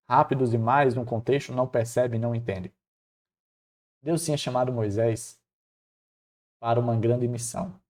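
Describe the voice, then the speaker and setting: Male devotional teacher, Northeastern Brazilian accent, mid-pitched warm relaxed voice, expressive storyteller. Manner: expressive, acts out dialogue colloquially, rhetorical questions. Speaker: Bible lesson presenter.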